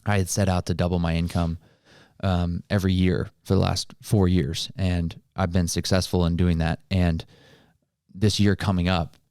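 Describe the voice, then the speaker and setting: A man with a low, deep voice speaks as a podcast co-host. He explains motivational ideas in casual, colloquial language, and the recording is dry and close. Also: flat delivery, normal speed, a little mellow, clean tone, no echo.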